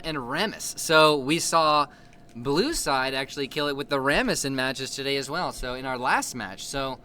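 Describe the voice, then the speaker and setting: A man's voice: medium pitch, bright, forceful tone. A young man casting a video game tournament in a playful, joking way, hyping up the chat. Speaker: esports caster. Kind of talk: casual play-by-play commentary. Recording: faint background noise.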